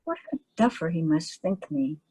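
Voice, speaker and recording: A woman voicing a sulky, embarrassed young gentleman in a read-aloud story, pitched a little lower than her own voice. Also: very animated, moderate pace, breathy edge, full character voice. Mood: rueful.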